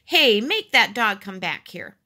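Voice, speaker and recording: A woman's voice: mid-range voice, very animated, unhurried, bright, forceful tone, exaggerated voice. A woman voicing an indignant grown man, a neighbor who bellows and snaps in a storybook. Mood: snappish. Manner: bellowing, snapping.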